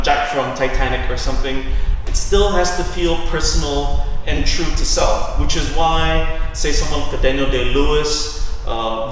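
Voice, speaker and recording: A man from Singapore giving deliberate, step-by-step explanations as an acting coach in an interview. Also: mid-range voice, fairly bright tone. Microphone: distant from the speaker. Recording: very echoey hall, distant mic, faint noise.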